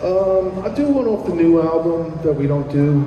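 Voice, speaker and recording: A middle-aged punk musician with a New Jersey accent, chatting casually in a radio interview with lots of ums and pauses. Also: male voice, on the high side, animated, dull audio, slight background noise. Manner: hesitant, lots of ums, then sings with guitar. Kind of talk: casual radio interview and live acoustic singing. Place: live radio broadcast in a shopping plaza. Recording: roomy hall from far off. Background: acoustic guitar.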